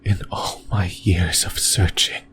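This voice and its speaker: A man voicing a lonely, possessive demon in a breathy, brooding whisper for an ASMR roleplay. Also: deep voice, fairly even intonation, fairly bright tone, slight background noise. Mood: tender, yearning. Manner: slow, halting, brooding delivery. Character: lonely, possessive sleep paralysis demon, lost and yearning.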